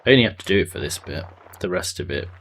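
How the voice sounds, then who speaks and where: A man's voice: deep voice, animated, fast talker, a bit bright, slight background noise. A man streaming a building game, thinking aloud in a loose, rambling way and chatting with viewers. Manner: thinks aloud, trailing off and answering chat. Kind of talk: casual live-stream chat while playing.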